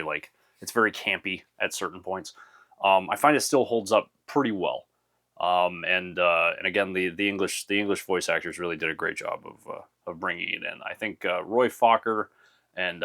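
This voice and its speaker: A young man in a casual, rambling show-and-tell about his anime collection, full of "uh" and restarts, a little hoarse from losing his voice. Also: low-pitched, a bit bright. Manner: rambling, many fillers and restarts.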